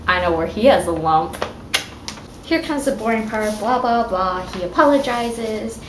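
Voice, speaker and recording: A woman's voice: mid-range voice, expressive, measured pace. A woman doing a mock-dramatic fan fiction reading, with snarky, informal asides, swearing and stumbling over her words. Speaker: amateur reader and commentator. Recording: echoey room with clear background noise.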